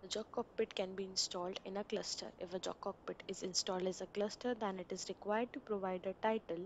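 A woman narrating a screen-recorded software installation walkthrough, giving plain step-by-step instructions over a desktop mic. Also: mid-range voice, steady tone, fast talker, muffled recording, slight background noise.

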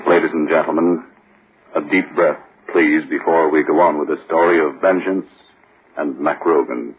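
A man's voice: very deep voice, steady tone, normal speed, breathy edge. A man announcing in the scripted style of a 1940s radio show. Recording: muffled, lo-fi old radio recording.

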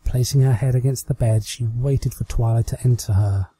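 A man's voice: mid-range voice, moderate pace, warm tone, husky, no room sound, rough recording. A British man reading a story aloud as a scripted amateur narration. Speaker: amateur fan fiction reader.

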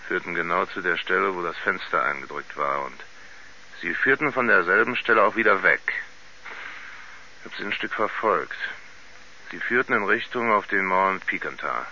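Country sheriff, very hard-edged tone, halting folksy delivery, slightly dull vintage radio play recording. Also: male voice, sits low, expressive, pushed and bright, a little hiss or hum, heavily acted. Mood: puzzled, matter-of-fact. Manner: folksy, halting, thoughtful witness account. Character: plain-spoken rural American sheriff giving testimony. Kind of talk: 1970s German radio play acting.

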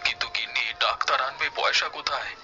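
A man's voice: medium pitch, fast talker, pushed and bright. A man voicing a worried, grumbling village husband in a cartoon, with a weary, muttering manner. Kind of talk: cartoon voice acting. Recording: dull radio-like sound, music underneath.

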